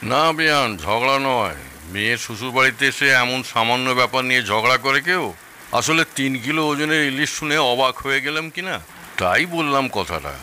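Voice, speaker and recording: An actor playing a teasing, sceptical older in-law in an audio drama, questioning and wry. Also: male voice, mid-range voice, expressive, moderate pace, pushed and bright, slight background noise. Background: rain.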